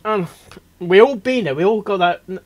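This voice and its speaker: A man hosting a reaction video, rambling casually and excitably, stammering and repeating himself as he goes. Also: high-pitched, normal speed. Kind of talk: casual off-the-cuff reaction commentary.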